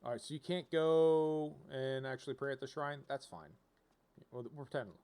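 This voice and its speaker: A man's voice: mid-range voice, steady tone, moderate pace, darkish tone, clear, resonant tone. A man casually commentating on a video game as he streams, rambling and thinking aloud as he reads out options.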